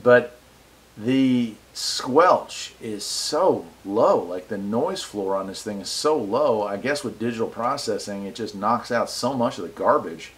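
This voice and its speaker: A man's voice: lowish voice, animated, a little slow. Enthusiastic, folksy American man. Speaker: radio hobbyist and video reviewer. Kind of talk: casual product review and radio chat. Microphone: wireless mic set between him and the radio.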